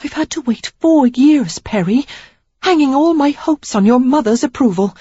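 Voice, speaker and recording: Indignant young woman on the verge of tears, read by a woman, voice pitched up, a touch husky. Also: animated, moderate pace, dark tone, no echo, dull audio. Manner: pleading, emphatic, near tears. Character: young woman, frustrated and resolute suitor.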